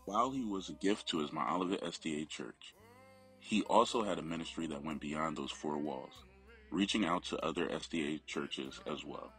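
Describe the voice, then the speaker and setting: A man with an extremely deep voice reads a memorial biography as a family member. His words come from a prepared script, with faint music playing behind him. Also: moderate pace, fairly bright tone.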